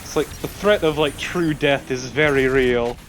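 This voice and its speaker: A man with a medium-pitched voice co-commentates a game in loose, joking chat with casual swearing. There is faint game noise in the background. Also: moderate pace, a bit bright, low-quality recording. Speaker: let's play co-commentator. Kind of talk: casual chat over gameplay.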